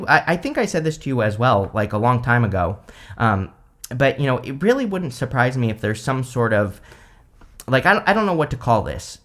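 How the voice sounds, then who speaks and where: Rambling, chatty man reading tarot. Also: medium pitch. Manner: chatty and rambling, full of "like" and "you know", with light laughs. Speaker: tarot reader, channel host. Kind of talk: casual tarot reading to camera.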